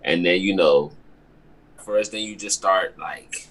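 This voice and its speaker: A young man with a deep, slightly husky voice speaks on a video call. He talks in a relaxed, slangy way, full of fillers and loose phrasing. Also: takes it slowly. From Maryland, with early childhood in Ghana. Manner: laid-back, loose slang, lots of fillers. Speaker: guest artist. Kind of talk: casual video-call chat.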